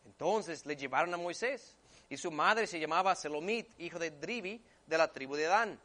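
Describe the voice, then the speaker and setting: A man who is a native English speaker preaching a sermon and reading scripture, emphatic and repetitive, swinging between hushed and forceful delivery. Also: a little high, expressive, normal speed.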